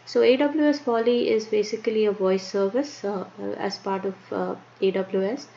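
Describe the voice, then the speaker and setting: A woman with an Indian accent presenting a live-streamed tech lesson, talking haltingly with many fillers and restarts. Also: medium pitch, vocal fry, dark tone. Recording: muffled lo-fi stream with faint noise.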